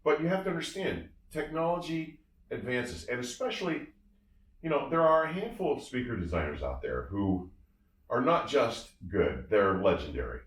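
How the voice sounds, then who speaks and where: A man's voice: medium pitch, big pitch swings, normal speed. A man reviewing audio gear in casual, enthusiastic talk, emphatic and effusive, often repeating his phrases. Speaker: audio reviewer and show host. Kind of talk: casual enthusiastic product discussion. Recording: roomy, somewhat distant from the mic.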